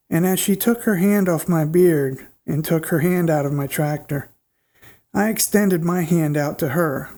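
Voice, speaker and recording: A man narrates listener stories with a stuffed-up, nasal sound from a head cold. His voice sits a little higher than most men's, and he reads at an even, unhurried pace with the odd small restart. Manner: steady, plain reading pace with small restarts. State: congested, nasal. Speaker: host reading listener stories. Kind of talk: storytelling narration.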